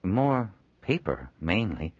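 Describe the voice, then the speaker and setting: A man's voice: deep voice, big pitch swings, takes it slowly, no echo, heavily acted. A timid young artist, as a man voices him, hesitant and stumbling over his words. The sound is dull and dry, close to the mic. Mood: unsure. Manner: tentative, stammering. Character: shy young male artist, unsure.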